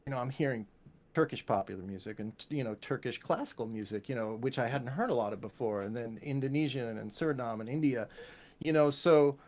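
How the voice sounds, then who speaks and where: A man's voice: medium pitch, fairly quick, a little mellow. A man speaking as a composer being interviewed, casual and enthusiastic, rambling and often checking in with "right?". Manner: enthusiastic, rambling, punctuated with "you know" and "right?". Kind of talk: casual interview conversation about music.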